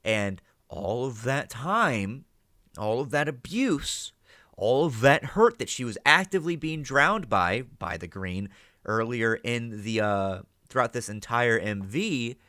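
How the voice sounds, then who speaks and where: A man's voice: mid-range voice, animated, slow, bright, forceful tone, no room sound, clean studio sound. A man giving casual reaction commentary as host, thinking aloud, swearing now and then and checking in with "right?". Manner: ends statements with "right?" as a check.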